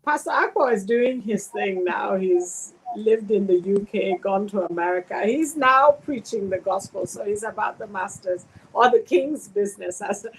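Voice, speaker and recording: A woman's voice: medium pitch, normal speed, hardly any pauses, husky, low-quality recording. A middle-aged woman from London hosting an interview over a video call, often hesitating and repeating words. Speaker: host. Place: home room.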